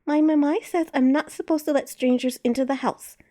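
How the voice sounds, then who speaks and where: A woman voicing a small excitable girl wolf-kit with a shrill, whiny, piping voice. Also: fairly quick, natural voice. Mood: polite, prim.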